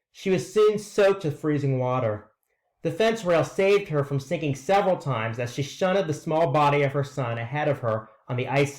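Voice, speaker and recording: Higher-pitched man reading aloud. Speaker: reader presenting a read-aloud. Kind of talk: scripted read-aloud narration.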